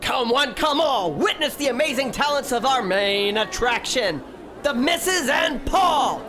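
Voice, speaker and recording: A man's voice: very high voice, expressive, unhurried, some chatter behind, exaggerated voice. A man playing a grandiose carnival barker in an audio drama, drawing out words in showman's patter. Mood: showy, rousing. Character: grandiose carnival barker announcing a main attraction. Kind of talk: theatrical announcing in audio drama. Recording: loud music under the voice.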